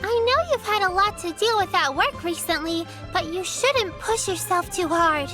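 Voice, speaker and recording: An earnest young apprentice girl in a video game. Her voice is very high and sweet, fussing over an older friend with real care. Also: expressive, normal speed, over music. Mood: caring.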